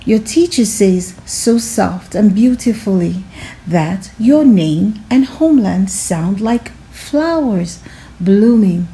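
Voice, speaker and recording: Female storyteller, mid-range and soft-toned, unhurried and gentle, for children's picture book read-alouds. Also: mid-range voice, animated, rough recording.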